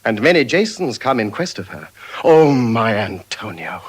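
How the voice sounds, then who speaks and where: An actor with a British accent playing an ardent, spendthrift young Venetian gentleman, in eloquent classical Shakespearean stage style. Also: mid-range voice, breathy edge. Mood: ardent, rapturous. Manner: eloquent, measured verse delivery with expressive swells.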